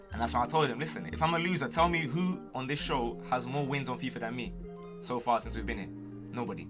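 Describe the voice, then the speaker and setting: Fast-talking young London man, playfully cocky. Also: mid-range voice. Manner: slangy, cocky, playful banter. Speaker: streamer and gamer answering viewer questions. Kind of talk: casual chat to camera. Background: music.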